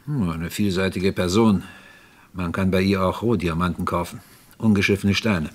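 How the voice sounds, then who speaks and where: An actor playing a courteous but insistent police inspector in a vintage German radio crime drama, measured and probing. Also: male voice, deep voice, steady tone.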